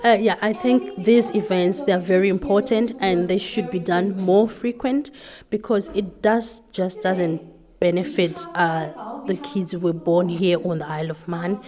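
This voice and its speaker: A woman's voice: fairly low pitch, steady tone, a little slow, a crowd in the background. A woman in her thirties from Zimbabwe, whose first language is Shona, answering radio interview questions in a hesitant, thoughtful way with many fillers. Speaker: guest. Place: community event.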